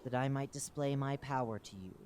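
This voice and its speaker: A man's voice: mid-range voice, fairly quick, darkish tone, slight background noise, voice pushed up, natural voice. A man voicing a solemn, unseen divine master who pronounces judgment in grave scriptural words. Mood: stern judgment.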